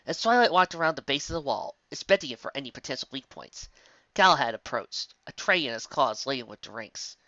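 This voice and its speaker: A woman's voice: extremely low pitch, expressive, a little fast, bright, forceful tone, dry recording. A woman narrating scripted fantasy fiction as a story reader, on a slightly dull recording.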